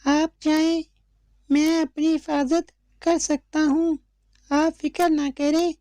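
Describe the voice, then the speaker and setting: A woman's voice: high-pitched, level tone, slow, warm tone, dry recording, studio quality. A woman voicing a small, earnest, obedient young male bird chick in a cartoon story. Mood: obedient, reassuring. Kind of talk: slow cartoon story dialogue.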